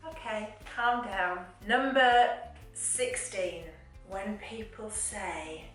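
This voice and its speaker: A woman's voice: mid-range voice, extremely slow. A woman chatting in a wry, exasperated way about things that annoy her. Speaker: vlogger. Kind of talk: casual chatty monologue. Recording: very echoey room, voice from a distance, faint music.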